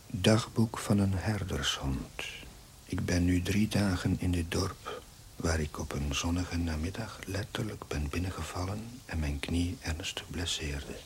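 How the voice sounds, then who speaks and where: An actor playing an earnest village chaplain who narrates his diary in a measured, formal, literary style for a television drama. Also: deep voice, vocal fry, slight background noise. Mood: earnest, reflective.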